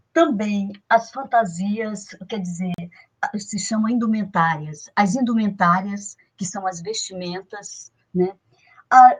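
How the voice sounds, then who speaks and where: A woman's voice: mid-range voice, breathy edge, rough recording. A woman guest, explaining a folk tradition in an interview with many fillers and restarts.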